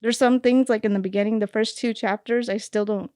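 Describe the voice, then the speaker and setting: A woman's voice: mid-range voice, fairly even intonation, brisk, darkish tone, dry recording. A woman guest on a casual podcast, speaking hesitantly with many fillers as she thinks aloud. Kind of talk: casual conversation.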